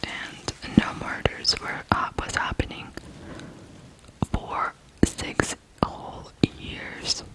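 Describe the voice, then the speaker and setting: An ASMR host from Ukraine narrating true crime in a hushed, deliberate way, pausing between short phrases. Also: poor audio.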